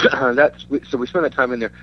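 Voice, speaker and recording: A man from Denmark giving a casual interview as a musician, talking in a halting, rambling way. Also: mid-range voice. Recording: dull lo-fi audio with faint hum.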